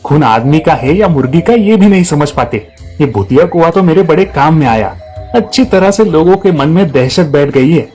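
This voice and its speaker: Casting: man as scheming cartoon bandit, scornful and gloating, fairly high male voice, music underneath. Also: lively intonation, normal speed, a little mellow, lightly acted. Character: gloating cunning male bandit scaring villagers.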